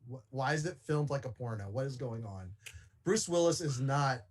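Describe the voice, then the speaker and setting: A man's voice: mid-range voice, lively intonation, moderate pace. A man of about forty chatting casually and jokingly as a panelist. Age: 40s.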